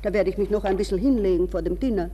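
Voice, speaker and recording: A woman's voice: mid-range voice, fast talker, mellow, big-room sound, dull audio, a little hiss or hum, natural voice. A woman playing a restless, ironic young society lady, musing to herself in a radio drama monologue. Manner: musing, self-questioning, shifting between reverie and mockery.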